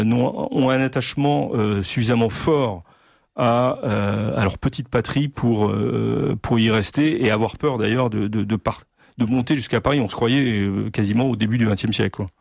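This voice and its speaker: A middle-aged man from Normandy speaking as a guest in a discussion, halting with many pauses and restarts. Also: medium pitch, vocal fry, dry recording, studio quality. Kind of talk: hesitant conversational discussion.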